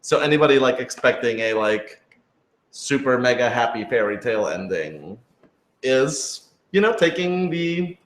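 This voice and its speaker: Halting, casual man in an echoey room. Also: mid-range voice, expressive, fairly bright tone. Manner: halting, hedging, thinks aloud. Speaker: podcast creator and writer reading fan questions. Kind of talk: casual Q&A discussion. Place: indoor room with echo. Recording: roomy, echoing room sound.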